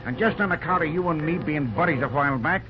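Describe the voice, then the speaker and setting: A man's voice: fairly high pitch, quick pace, noisy background, lightly acted. An actor playing a wary, folksy American railroad fireman, a loyal drifter with slangy, conspiratorial talk, in an old-time radio drama. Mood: confiding, suspicious. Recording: dull, lo-fi old radio broadcast recording.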